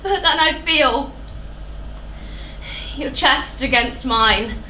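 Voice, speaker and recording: Very high female voice, actress as anguished plague chorus, incantatory, intense, echoey noisy theatre. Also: very slow, sharp tone, heavily acted. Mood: anguished, yearning. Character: anguished woman of a plague-stricken tragic chorus. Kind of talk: rhythmic theatrical chorus recitation. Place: theatre stage. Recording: echoey theatre with clear noise and clicks.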